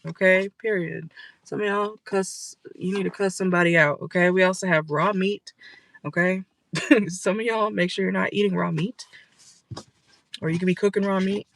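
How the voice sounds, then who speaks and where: A tarot reader giving a casual reading for viewers from a car, blunt and profane, tagging lines with "okay?", and losing their voice. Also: medium pitch.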